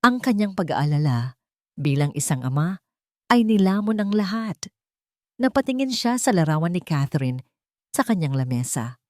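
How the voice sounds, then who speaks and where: A woman's voice: on the low side, very animated, normal speed, long pauses, a little mellow, no room sound, studio quality. A woman narrator reading a scripted dramatic story.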